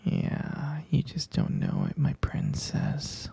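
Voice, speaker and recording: A hushed, heavily creaky murmur from a possessive kidnapper boyfriend acted by a man in an intimate ASMR roleplay. The delivery is slow and breathy, coaxing a captive lover with syrupy tenderness and obsessive devotion. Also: a little high, huge pitch swings, exaggerated voice. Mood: soothing, obsessive. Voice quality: breathy, fry-laden murmur. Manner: slow, coaxing, saccharine pet names.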